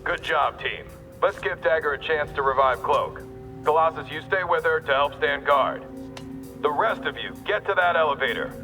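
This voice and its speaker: An actor playing a brisk, encouraging team leader giving orders in a video game. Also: male voice, on the high side, lively intonation, pushed and bright, far from the mic, soft background music. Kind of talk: game cutscene acting.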